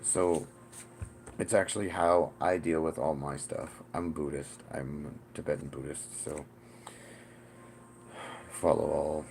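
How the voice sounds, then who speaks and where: Very deep gravelly man rambling. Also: steady tone, slow pace, pauses often, darkish tone, slight background noise. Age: 40s. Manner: rambling, profane, repeats "the thing is". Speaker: self-styled spiritual teacher. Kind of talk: rambling profane monologue.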